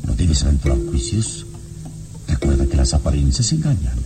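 Music plays behind a wise, commanding adventurer in a radio serial. His voice is low and very animated in pitch, yet measured and sententious in its authority. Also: creaky, heavily acted. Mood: grave, admonishing. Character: calm, commanding heroic adventurer, grave and wise. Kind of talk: old radio serial acting.

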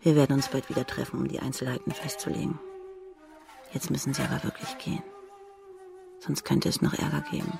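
An actress playing a proud, blunt, scornful young courtesan in a radio drama, cynical and frank in manner. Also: female voice, extremely low pitch, very animated, a little fast, creaky, long pauses, music in the background, full character voice. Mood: calculating, demanding.

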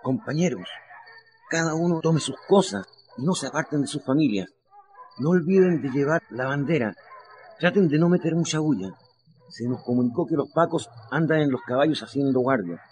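A Chilean actor playing a cautious, encouraging community leader giving instructions in a radio drama. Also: male voice, on the high side, expressive, moderate pace, darkish tone, lightly acted, rough recording. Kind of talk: radio play acting.

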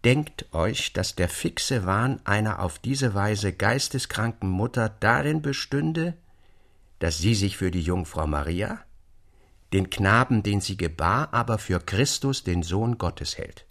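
A man playing an eloquent storyteller in a literary radio reading, expounding a dark case with deliberate, rhetorical phrasing. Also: lowish voice, expressive. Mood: intent, narrating. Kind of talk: literary audiobook reading as dramatic monologue.

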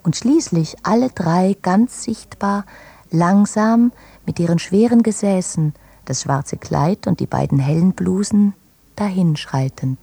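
A woman reading literary prose for a radio play in a measured way, phrase by phrase. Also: on the low side, creaky, mellow, slightly rough, dry recording. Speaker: reader.

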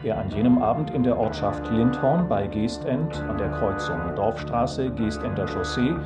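Actor as official crime bulletin guy, mid pitch, measured, distant sound, music behind. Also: natural voice. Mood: sober, official. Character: formal broadcaster reading a police witness appeal. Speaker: announcer. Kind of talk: scripted crime bulletin reading.

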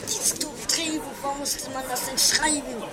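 High-voiced, frazzled film director acted by a woman. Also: fairly even intonation, normal speed, heavy background noise, lightly acted. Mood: exasperated. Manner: hammy, barking orders, muttering and stammering. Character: frazzled, exasperated, bossy male film director. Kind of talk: amateur comedic radio play acting.

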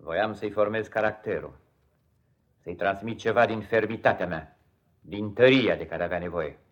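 An actor playing a cold, domineering, self-righteous husband in a radio play, pompous and stern, with deliberate theatrical delivery. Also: male voice, lowish voice, highs cut off, natural voice. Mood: indignant, pompous. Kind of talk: 1950s radio theatre acting.

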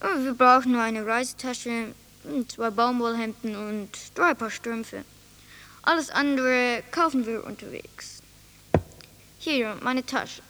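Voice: mid-range voice, slow pace, pushed and bright. An actor in a German radio play voicing an eager child who earnestly play-acts and directs the game. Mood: brisk, commanding.

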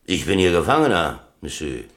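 A deep-voiced, defiant prisoner captain. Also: male voice, sing-song, moderate pace, a bit bright. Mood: dry, controlled. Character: defiant, streetwise German prisoner, a chess-playing captain. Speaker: actor in a radio drama. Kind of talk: German radio play acting.

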